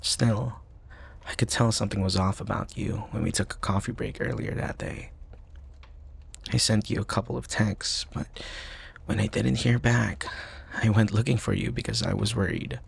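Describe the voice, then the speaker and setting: Casting: male actor as protective college-age best friend for ASMR roleplay audio, husky with vocal fry, gentle, hesitant, teasing. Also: on the low side, fairly even intonation, normal speed, heavily acted. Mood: tender, caring. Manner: soft, sighing, hesitant stammers, breathy asides.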